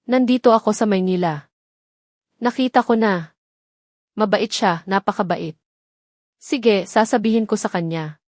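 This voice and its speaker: A woman's voice: mid-range voice, a little slow, pauses often, a little mellow, dry recording, studio quality, lightly acted. A woman voicing a kindly, insistent elderly provincial mother in an audio drama, with a Filipino accent. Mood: warm, pleased.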